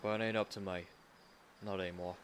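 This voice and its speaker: A man voicing a gruff, blunt but loyal working-class fellow who talks colloquially in an audio roleplay. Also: low voice, fairly even intonation, a little fast, background noise, natural voice. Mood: blunt, earnest.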